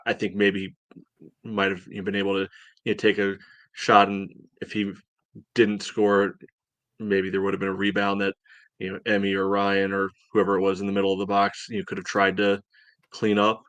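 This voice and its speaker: A man co-hosting a soccer podcast, breaking down a match in casual, halting talk. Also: on the low side, completely flat delivery, fairly bright tone, no room sound. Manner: halting, peppers talk with "you know". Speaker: soccer podcast co-host and analyst.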